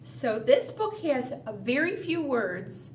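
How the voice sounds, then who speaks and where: A middle-aged woman leading a preschool storytime, animated and sing-song, coaxing small children with questions. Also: high-pitched, slow. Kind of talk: storytime read-aloud and chat addressed to small children. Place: small indoor room, seated at a table. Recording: roomy, distant mic, faint room noise.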